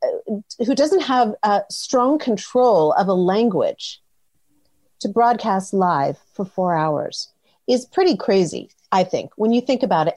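A woman's voice: mid-range voice, a little mellow. A woman interviewing a guest, asking probing, emphatic questions. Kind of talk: conversational interview.

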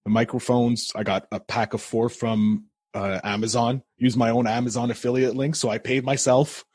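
A man's voice: mid-range voice, flat delivery, normal speed, darkish tone, husky, no room sound. A man hosting a podcast and chatting with a guest in an easygoing, agreeable way. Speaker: podcast host. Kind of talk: casual interview chat.

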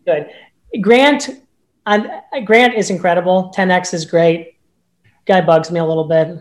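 A man with a notably high-pitched voice, a real estate agent speaking as a podcast guest in an upbeat, emphatic way. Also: fairly even intonation, measured pace. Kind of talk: casual podcast interview.

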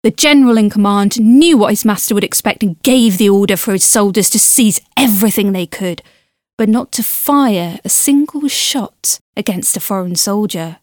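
Woman playing a deliberate historian, closely recorded. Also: medium pitch, darkish tone, dry recording, studio quality, lightly acted. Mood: measured, authoritative. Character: composed female historian reading her chronicle. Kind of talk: scripted history narration in an audio drama.

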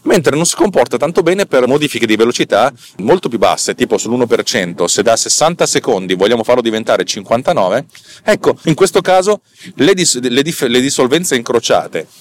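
A man's voice: medium pitch, big pitch swings, a little fast. A man hosting a podcast, giving informal technical explanations in a hesitant, self-correcting, colloquial way. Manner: colloquial, self-deprecating, mildly profane. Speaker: podcast host.

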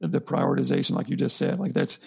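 A man's voice: medium pitch, fast talker, vocal fry, husky. A man co-hosting a podcast, thinking aloud in long rambling stretches and often restarting his sentences. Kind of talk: conversational podcast discussion.

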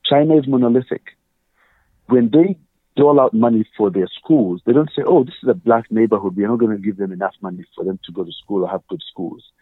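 A man's voice: lowish voice, fairly quick, no room sound, narrow, tinny audio. A man with a Ugandan accent, a guest earnestly explaining his views with examples and quoted questions. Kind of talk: conversational interview.

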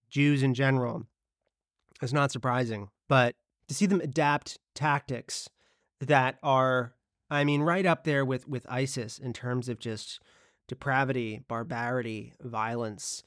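A man's voice: medium pitch, dry recording, studio quality. A man with a cold and a sniffly voice, co-hosting a podcast in a conversational way with frequent restarts and repeated words. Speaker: podcast co-host. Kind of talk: podcast discussion.

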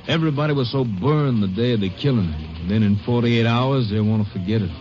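A talkative small-town newspaper editor, played by a man in an old radio drama, with a mellow, lowish voice and a wry manner. The sound is muffled vintage broadcast audio with music under it. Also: a little fast, close to an everyday voice. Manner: chatty and wry. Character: middle-aged male small-town newspaper editor, outspoken. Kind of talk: 1950s radio drama acting.

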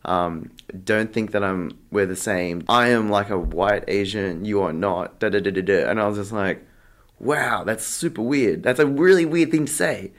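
An Australian man chatting casually as an interview guest, telling stories in a rambling, halting way full of filler "like". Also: lowish voice. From Australia, Sydney. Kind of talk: casual anecdotal chat.

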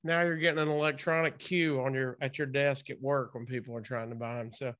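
A man's voice: mid-range voice, steady tone, brisk, a bit bright. A man hosting a casual radio interview, chatting in an informal, jokey way.

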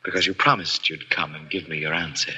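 An actor playing a passionate, domineering pianist in a 1940s radio drama, with an intense, theatrical and insistent delivery. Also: male voice, rumbling low, steady tone, fairly quick, husky, heavily acted. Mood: brooding, intense. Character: passionate, domineering male concert pianist, obsessive lover. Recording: dull 1940s radio recording, loud music. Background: dramatic piano music.

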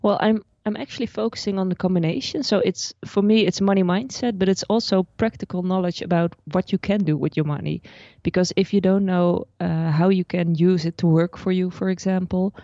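Low, dark-voiced Dutch woman. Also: steady tone, fairly quick, no echo, dull audio. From Netherlands. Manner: thoughtful, explains at length with restarts. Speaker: guest, personal finance blogger. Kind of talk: podcast interview.

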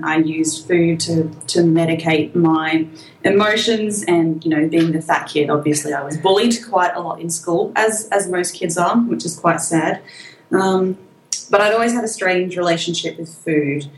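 An Australian woman speaking as a podcast guest in casual conversation, chatty and quick to laugh, with frequent ums. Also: fairly low pitch, reverberant, a little hiss or hum, rough recording. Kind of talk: casual interview chat.

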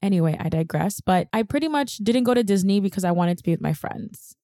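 A woman's voice: mid-range voice, expressive, fairly quick, dark tone, no echo. A young woman hosting a podcast, telling her own story in a candid, chatty, self-deprecating way on a close mic. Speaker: podcast host. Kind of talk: personal storytelling monologue.

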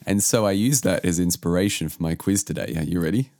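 A man hosting a casual music podcast who is sick with the flu, which has lowered his voice. He talks in a laid-back, rambling way with hesitations. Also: quick pace, darkish tone, no room sound, clean studio sound. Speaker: podcast host.

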